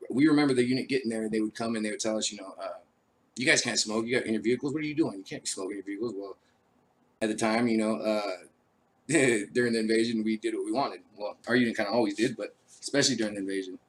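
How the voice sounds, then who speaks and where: A man who is an army veteran hosting his own show, talking casually and rambling with many fillers, his voice raspy from a cold. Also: lowish voice, low-quality recording. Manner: laid-back, rambling, frequent uh fillers. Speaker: show host. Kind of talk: casual live-stream talk.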